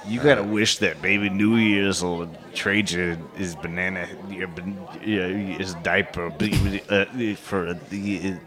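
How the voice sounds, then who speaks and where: A man's voice: low-pitched, steady tone, slow, few pauses. A man playing a leering, diapered Baby New Year who stumbles through his words in an improvised live comedy bit. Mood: rambling, insistent. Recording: music and crowd chatter behind the voice.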